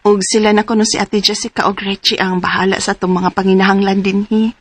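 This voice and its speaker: A woman in her forties with a Cebuano accent playing a single daughter who cares for her mother in a radio drama, earnest and rising high in surprise. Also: flat delivery, fast, husky, no room sound, close to an everyday voice. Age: 40s. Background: music.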